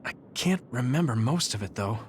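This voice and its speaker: A man's voice: mid-range voice, lively intonation, moderate pace, a little mellow, a little hiss or hum, lightly acted. A man playing an earnest, hesitant young soldier in a video game cutscene, full of confused questions. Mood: uncertain. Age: young adult.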